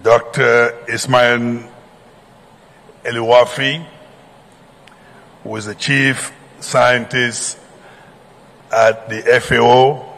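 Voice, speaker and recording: A man's voice: medium pitch, flat delivery, drawn-out words, pauses often, fairly bright tone. A man from Senegal moderating a conference session, formal and courteous, improvising through schedule changes. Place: large conference hall. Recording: long hall reverb.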